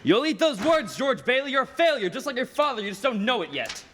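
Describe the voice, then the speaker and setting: A man's voice: very high-pitched, sing-song, a little fast, fairly bright tone, heavily acted. A young man playing a menacing, vindictive rich villain in a 1940s-style radio play. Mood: furious, threatening. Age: young adult. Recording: hall reverb, faint noise, live stage.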